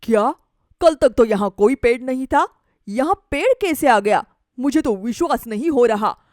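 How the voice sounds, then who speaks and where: A woman voicing a bossy, greedy, boastful village employer, a man, in an animated moral story. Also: on the high side, normal speed, no room sound, studio quality, lightly acted. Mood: incredulous, demanding.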